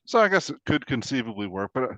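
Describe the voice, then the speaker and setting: A man's voice: mid-range voice, sing-song, fast, a bit bright, dry recording. A man co-hosting a casual chat, asking questions and thinking aloud, on a slightly lo-fi voice track.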